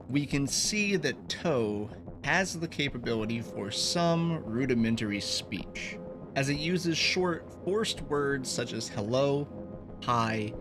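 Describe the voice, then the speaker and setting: A man hosting a video essay, reading a scripted, analytical explanation. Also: medium pitch. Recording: voice over background music. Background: background music.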